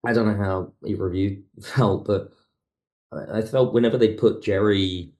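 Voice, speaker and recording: A man's voice: lowish voice, fairly even intonation, moderate pace, a little mellow. A man talking casually as an interview guest, thinking aloud in broken phrases as he explains his creative work. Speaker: podcast guest, audio drama writer.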